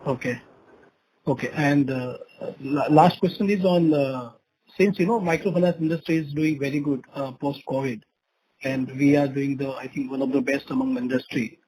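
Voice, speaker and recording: A man's voice: mid-range voice, fairly even intonation, moderate pace, a little mellow. A man with an Indian accent asking questions as an analyst on an earnings call, in hesitant, stop-start phrases. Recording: faintly noisy conference call.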